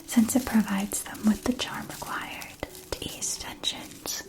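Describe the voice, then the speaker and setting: Whispering woman as a magic shopkeeper, soft and even. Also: medium pitch, natural voice, low-quality recording. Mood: soothing, helpful. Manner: slow, deliberate, gently explanatory. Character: kindly female shopkeeper of magical witch-school potion supplies. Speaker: ASMR roleplay performer. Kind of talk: whispered ASMR fantasy roleplay, scripted.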